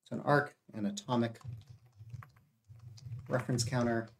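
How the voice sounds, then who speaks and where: A man with a cold and a gravelly voice, thinking aloud as he codes live and explains each step. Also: mid-range voice, measured pace, slight background noise. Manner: steady explaining with frequent uh and self-corrections. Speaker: live coding streamer.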